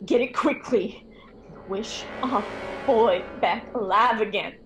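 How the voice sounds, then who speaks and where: A woman's voice: medium pitch, slow pace, over music. A woman playing an obsessive, grieving elderly mother in a radio horror drama, imploring and intense. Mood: feverish, demanding.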